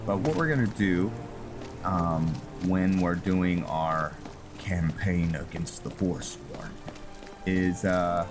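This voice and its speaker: Low-voiced unhurried male gamer narrating play, game music and rain behind him. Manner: relaxed, musing aloud with frequent ums. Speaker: gamer playing a roleplay let's play. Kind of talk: casual gameplay commentary. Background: game music and rain sounds.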